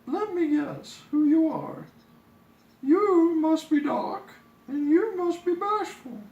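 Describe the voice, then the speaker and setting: Sweet innocent storybook princess, really high voice, polite and gushy, roomy sound. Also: male voice, lively intonation, slow pace, a little mellow, muffled recording, exaggerated voice. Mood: friendly surprise. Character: sweet, innocent young fairy-tale princess, gushing and gentle.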